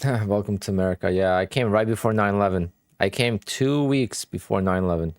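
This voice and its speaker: A man in his thirties with a light Polish accent talks casually to his live-stream viewers, in short, relaxed bursts. His voice sits fairly low. The sound is dry and close. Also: fairly quick, no echo. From Poland. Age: 30s. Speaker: day trading streamer.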